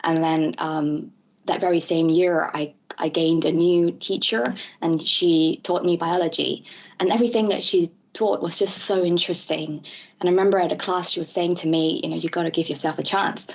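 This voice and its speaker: A woman from Malaysia in her thirties, a guest in an interview, who talks in a halting way with many fillers and restarts. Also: on the low side, fairly even intonation. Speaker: interview guest, ADHD advocate. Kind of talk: conversational interview. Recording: dull lo-fi audio.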